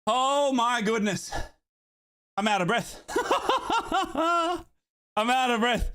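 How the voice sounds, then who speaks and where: A man from Australia streaming a football watchalong, cheering and yelling excitedly, his voice hoarse from shouting. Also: extremely high pitch, drawn-out words. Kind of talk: excited fan commentary.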